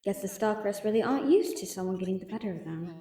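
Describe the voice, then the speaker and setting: A woman's voice: mid-range voice, expressive, fast, mellow, distant mic, close to an everyday voice. A woman playing a sardonic, anxious captive merchant, muttering a sarcastic inner monologue in a fantasy audio drama. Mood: sardonic, wry. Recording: roomy, echoing, off-mic sound.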